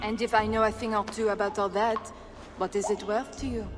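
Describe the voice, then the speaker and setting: A woman's voice: medium pitch, fairly even intonation, a little fast, over music, natural voice. A woman playing a sly, silver-loving smuggler in a video game, with a teasing, wry, theatrical manner. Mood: sly, conspiratorial. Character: sly, silver-loving female smuggler posing as humble bard. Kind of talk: video game cutscene acting.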